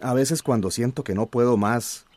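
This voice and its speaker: An actor in a radio drama playing a weary but hopeful village man, halting and emotional. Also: medium pitch, a little mellow, close to an everyday voice. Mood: wistful, hopeful.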